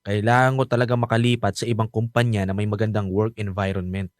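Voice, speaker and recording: A man's voice: on the low side, flat delivery, fast talker, no echo, studio quality, close to an everyday voice. An actor playing a bitter, resentful young adult son, protective of his mother, speaking with heated emphasis in a radio drama. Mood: weary, frustrated.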